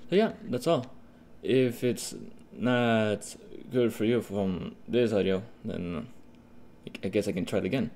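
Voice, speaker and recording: Gravelly man giving a casual software tutorial. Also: on the low side, measured pace. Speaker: tutorial host. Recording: steady noise behind the voice.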